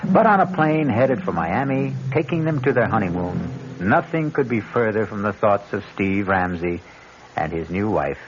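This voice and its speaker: A man's voice: on the low side, sing-song, normal speed, background noise. An actor playing the ominous male narrator of a suspense radio drama, in a dramatic scripted style. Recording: dull old radio, humming.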